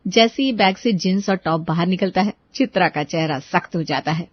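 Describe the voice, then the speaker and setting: A woman reading scripted storytelling narration for an animated moral tale. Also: lowish voice, moderate pace, muffled recording.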